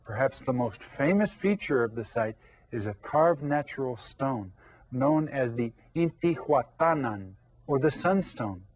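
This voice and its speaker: A man's voice: mid-range voice, animated, poor audio. A man teaching a Spanish lesson to young children, explaining patiently and repeating key words. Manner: patient, explains slowly with repetition.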